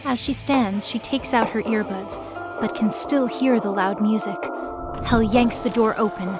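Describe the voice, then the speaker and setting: Female narrator for dramatic myth fiction: higher-pitched voice, scripted storytelling delivery over a clear music bed. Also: measured pace.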